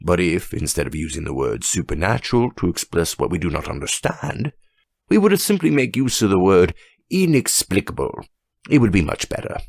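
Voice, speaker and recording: A man voicing a dignified, rational judge telling a story in a grave, measured and formal manner for an audiobook. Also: low-pitched, sing-song, creaky, dry recording, full character voice. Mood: grave, emphatic. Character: dignified, weighty, rational male French judge.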